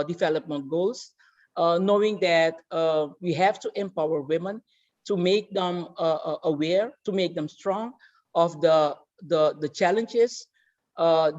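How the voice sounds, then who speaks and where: A woman from Suriname, an economist speaking as a podcast guest, halting and full of fillers and restarts. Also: on the low side, darkish tone, dull audio. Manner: hesitant, many fillers and restarts. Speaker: guest, economist and women-in-tech organizer. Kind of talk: podcast interview answer.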